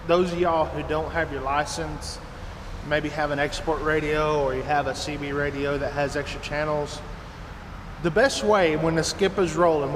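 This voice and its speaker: An American man in his thirties, a trucker talking casually to camera in a rambling, folksy way. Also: fairly high pitch. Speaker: trucker and video blogger. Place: inside an empty truck trailer, door open. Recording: echoey metal trailer, clear background noise.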